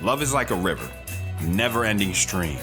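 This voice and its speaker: A man's voice: sits low, very animated, moderate pace. Romantic young husband over guitar music male actor. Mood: romantic, poetic.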